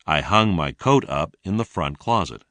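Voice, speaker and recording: A man reading a scripted English lesson in a deliberate, clearly enunciated way. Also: low-pitched, animated, fairly bright tone, no room sound. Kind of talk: scripted read-along narration.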